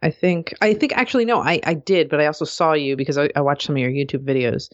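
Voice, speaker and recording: A woman co-hosting a podcast, with a very low voice and a slightly dull, muffled sound. She speaks agreeably and reflectively, with plenty of fillers. The audio is dry and close. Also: quick pace, dry recording. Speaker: podcast co-host. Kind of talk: casual conversational chat.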